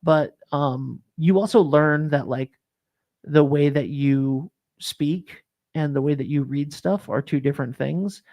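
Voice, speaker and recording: A man's voice: a little high, unhurried, darkish tone. A man hosting a casual morning talk show, rambling with plenty of fillers and restarts. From Rhode Island. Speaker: show host. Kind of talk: casual chat.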